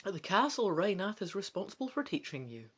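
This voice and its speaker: A man's voice: high voice, big pitch swings, fairly quick, fairly bright tone, slightly rough. A man giving a dramatic narration as he plays through a fantasy gamebook.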